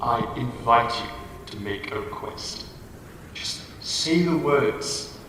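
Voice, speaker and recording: A man playing an ancient, menacing demon who patiently tempts with bargains, theatrical and drawn-out in an audio drama, with cavernous reverb on his voice. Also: medium pitch, lively intonation, sharp tone. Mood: coaxing. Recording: echoey cavernous reverb, distant, faint hiss.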